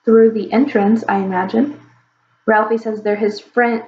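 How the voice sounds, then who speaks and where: A woman's voice: on the high side, steady tone, a little slow. Woman voicing a fretful mother dragon. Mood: anxious. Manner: urgent, fretful. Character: anxious protective mother dragon. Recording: roomy, slightly dull recording.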